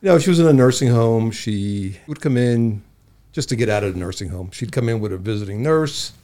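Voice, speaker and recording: A man's voice: fairly low pitch, animated, fairly quick, mellow. A man in his sixties, a dentist, telling a story in a casual chat and drawing out the suspense.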